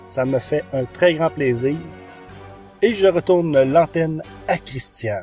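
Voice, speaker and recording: A man's voice: fairly high pitch, slow, darkish tone, breathy edge, soft background music. A man giving an informal, enthusiastic podcast review with hesitant phrasing. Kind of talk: informal spoken review over music.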